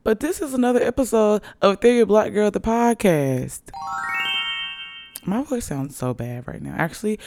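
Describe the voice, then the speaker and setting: A young woman hosting her own podcast, chatting casually and playfully in a raspy, hoarse voice after a long night. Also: medium pitch, expressive, normal speed, warm tone, dry recording, soft background music. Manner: chatty, playful, hyping herself up. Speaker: podcast host. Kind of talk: casual solo podcast chat. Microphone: podcast microphone.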